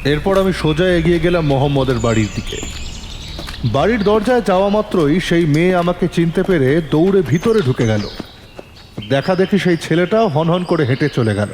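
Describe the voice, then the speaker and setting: A man narrating a detective story in the first person, in a measured, suspenseful storytelling manner. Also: on the high side, animated, a little mellow, long reverb tail, slight background noise.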